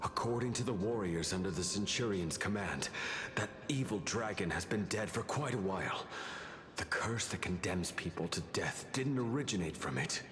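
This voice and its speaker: A man voicing a nervous, polite, excitable ghostly soul in a video game. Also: low voice, normal speed, noisy background. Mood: earnest. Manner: jittery, excitable. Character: nervous, polite male ghost soul guarding a plundered vault. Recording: echoey, with game music behind.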